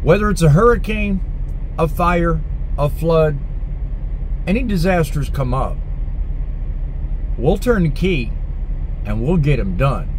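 A man's voice: a little high, lively intonation, a little hiss or hum, low-quality recording. A middle-aged man, a truck driver and vlogger, talking earnestly and reflectively to the camera from inside his truck cab on a lapel mic. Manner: earnest, reflective, deliberate phrasing. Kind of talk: casual talk to camera. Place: inside a truck cab, also outdoors in a parking lot. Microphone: small lapel mic.

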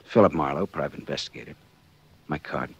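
An actor playing a sardonic, glib American hard-boiled private detective in 1940s radio style. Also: male voice, rumbling low, fairly quick, creaky, slightly rough, dry recording, heavily acted. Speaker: lead actor and narrator. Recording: muffled, lo-fi 1940s radio recording.

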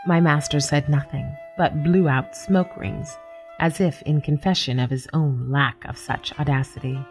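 A woman playing a nameless, observant house cat, narrating a literary radio story in a measured, formal, deadpan way. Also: deep voice. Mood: wry, reflective. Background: music.

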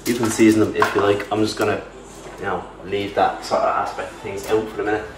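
Young male vlogger, low flat voice, off-mic in a roomy kitchen. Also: fast, recorded from a distance, background noise. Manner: relaxed, chatty, self-deprecating. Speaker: fitness vlogger. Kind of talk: casual cooking walkthrough. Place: large home kitchen, indoors. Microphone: camera mic at a distance.

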